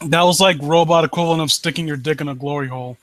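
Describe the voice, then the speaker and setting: A man's voice: on the high side, fairly even intonation, rapid-fire, a bit bright, dry recording. A man hosting a live stream in casual chat, blunt and given to swearing. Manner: blunt, profane. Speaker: host of a live critique stream.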